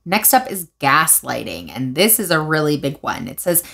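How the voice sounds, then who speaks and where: A young woman talking to camera from her living room couch, explaining her views in an animated way with frequent fillers and restarts. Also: sits low, normal speed, creaky. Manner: animated, gestures with hands while explaining. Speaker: video essayist. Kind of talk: casual commentary vlog.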